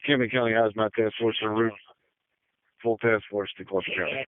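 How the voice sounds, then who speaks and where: A man's voice: fairly low pitch, fairly even intonation, a little fast, dry recording. A man working as an emergency responder, speaking in terse messages over a two-way radio. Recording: thin narrowband radio transmission.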